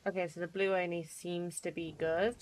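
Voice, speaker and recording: A woman's voice: fairly low pitch, little pitch movement, moderate pace. A woman giving casual, slangy let's-play commentary and reacting to a game as she plays. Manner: chatty, slangy. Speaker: gaming streamer.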